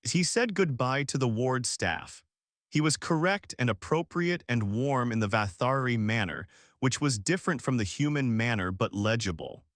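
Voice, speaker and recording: A man narrates a story in a measured, steady storytelling style. His pitch moves a lot across each sentence. The recording is dry and close. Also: no room sound. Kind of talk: scripted audiobook narration.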